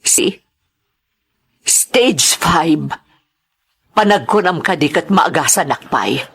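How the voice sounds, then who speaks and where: An actress with an Ilocano Filipino accent and husky voice playing a pained, pleading woman in a radio family drama. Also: low-pitched, expressive, slow, fairly bright tone, full character voice. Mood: resigned, pained.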